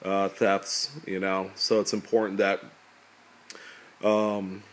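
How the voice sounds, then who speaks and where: Deep-voiced man, a bit nasal. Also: slow pace. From California. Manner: relaxed, rambling, many fillers. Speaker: coin collecting YouTuber. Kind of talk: casual talk to camera. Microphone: camera pointed at table, speaker off screen.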